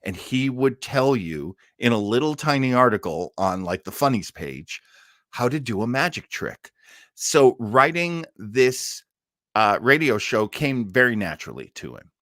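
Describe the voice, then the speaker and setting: A man's voice: medium pitch, sing-song, a little slow, fairly bright tone, no echo, clean studio sound. A man hosting an old-time radio show, chatting casually in a rambling, hesitant way. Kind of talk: casual live chat and commentary.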